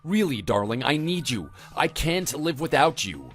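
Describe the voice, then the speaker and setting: A man's voice: fairly high pitch, big pitch swings, moderate pace, fairly bright tone, faint music behind. A man playing a regretful, pleading husband in a radio drama, speaking earnestly. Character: pleading, regretful husband begging his wife back. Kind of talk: dramatized story dialogue.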